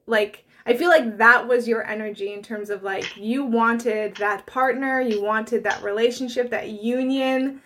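A woman's voice: fairly high pitch, steady tone, unhurried, few pauses, a bit bright. A woman in her thirties hosting an interview, chatty and searching for words with many likes and fillers. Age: 30s. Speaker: host. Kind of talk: conversational interview.

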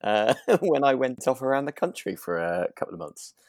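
British man, medium pitch, podcast co-host, chatty, enthusiastic, casual, dry close sound. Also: big pitch swings, moderate pace, darkish tone, no room sound. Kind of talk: relaxed casual chat between friends. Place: remote internet recording, dry room.

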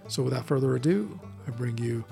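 A man hosting a casual podcast, reading a scripted intro and then asking relaxed questions with fillers. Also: mid-range voice, fairly quick, gravelly, soft background music. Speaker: podcast host.

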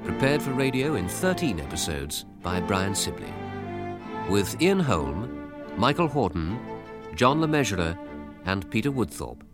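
A man reading out scripted title and credit announcements for a radio drama. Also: fairly low pitch. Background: music.

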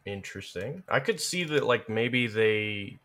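A man speaking as a guest in a casual discussion, measured and thoughtful, qualifying his points as he goes. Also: fairly low pitch, animated, fairly bright tone.

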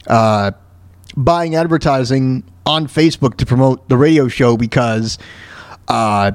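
A man hosting a solo radio show, giving informal, opinionated commentary on the news in a halting, repetitive way. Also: a little high, no room sound. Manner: informal, halting, repeats words and phrases for emphasis.

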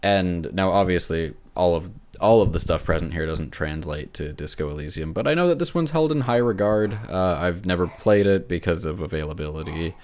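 Male co-host, notably deep voice, informal gaming chat, stop-and-start phrasing. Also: a little fast, few pauses, a little mellow. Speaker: podcast host. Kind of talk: casual chat about video games.

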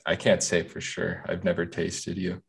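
Very low-voiced sarcastic man. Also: completely flat delivery, moderate pace, vocal fry, husky. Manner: dry, sarcastic joking. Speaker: podcast co-host. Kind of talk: casual chat.

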